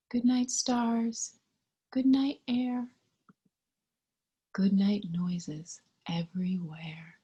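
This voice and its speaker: A woman reading a picture book to young children in a gentle, singsong way, sometimes hushed, asking the listener simple questions with childlike wonder. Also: mid-range voice, slightly rough, lo-fi sound. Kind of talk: interactive children's read-aloud with questions to the listener.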